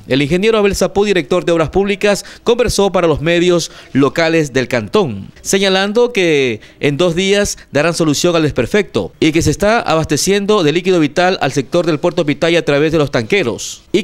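An Ecuadorian man reads a local news report in a formal, even delivery. His voice sits slightly high for a man. The narration is clean, with nothing behind it. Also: moderate pace, hardly any pauses. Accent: Ecuadorian Spanish.

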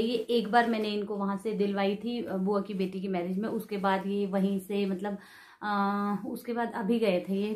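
A woman's voice: mid-range voice, a little mellow. A woman in her thirties chatting casually through a clothes haul at home, explaining each item while holding it up to a front camera. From Himachal Pradesh, India. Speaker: vlogger. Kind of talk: casual shopping haul chat. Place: bedroom at home, indoors. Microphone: camera in front, medium framing. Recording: echoey room.

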